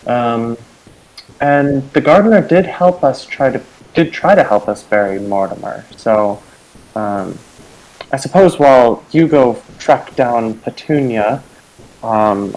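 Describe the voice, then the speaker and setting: A man's voice: medium pitch, expressive, slow, a little mellow, rough recording. A man chatting as a player in a tabletop game, teasing the others in a dry way.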